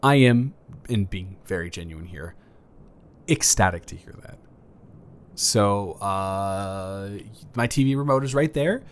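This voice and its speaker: A man's voice: deep voice, lively intonation, slow, long pauses. A man improvising a flirty, awkward neighbor in a romantic roleplay audio, stammering and earnest as he talks. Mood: chatty, enthusiastic.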